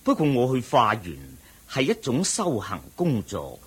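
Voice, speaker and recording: An actor playing a solemn, humble ascetic Buddhist monk who teaches patiently in measured Hong Kong Cantonese, in radio drama style. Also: male voice, medium pitch, huge pitch swings, breathy edge, full character voice. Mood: gentle, firm. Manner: measured, deliberate, didactic.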